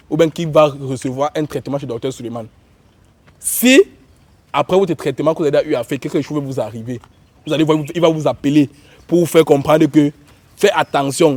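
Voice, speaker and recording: A man's voice: a little high, darkish tone, no echo. A man with a Cameroonian accent and a cold, hosting an online show in an informal, repetitive way as he addresses viewers and guests. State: has a cold. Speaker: YouTube show host.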